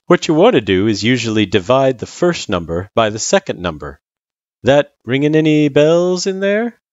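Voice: mid-range voice, sing-song, moderate pace, darkish tone, no room sound, muffled recording, voice pushed up, close to an everyday voice. A storyteller voicing a laid-back, kindly male figure who tutors in a casual, slangy and patient way. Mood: patient, thoughtful.

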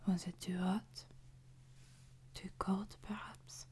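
Whispering French maid played by a woman, very slow. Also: medium pitch, little pitch movement, close to an everyday voice. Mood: gentle, attentive. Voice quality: soft, breathy whisper. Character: soothing, devoted, gently dominant French maid. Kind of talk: whispered ASMR sleep-aid roleplay. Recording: noticeable background noise, ambience.

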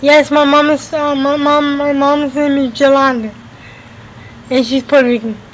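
A woman's voice: high voice, level tone, normal speed, slight background noise, low-quality recording. A woman in her twenties from the United States, chatting casually to camera as a vlogger and repeating her phrases for emphasis. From United States, New Jersey and Florida. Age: 20s. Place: indoors at home by a window. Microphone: webcam at arm's length.

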